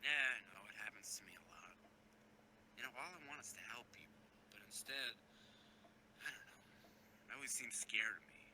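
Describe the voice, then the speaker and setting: A man's voice: fairly low pitch, normal speed, long pauses, bright, forceful tone, slight background noise, rough recording. A man rambling through half-joking reflections while playing a game.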